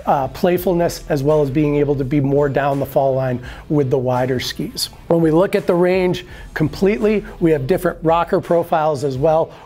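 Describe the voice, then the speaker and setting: A man's voice: on the high side, darkish tone. A man in his forties giving an upbeat scripted product walkthrough in a showroom, explaining and gesturing as he talks, on a lapel mic. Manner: upbeat, explanatory, gestures at skis while talking. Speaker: brand product presenter. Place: ski shop showroom, indoors.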